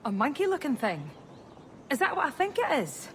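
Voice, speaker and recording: A wry, teasing female ally in a pirate game. Her voice is fairly high and swings freely in pitch. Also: huge pitch swings, normal speed, slight background noise. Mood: wry, friendly. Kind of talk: video game cutscene acting.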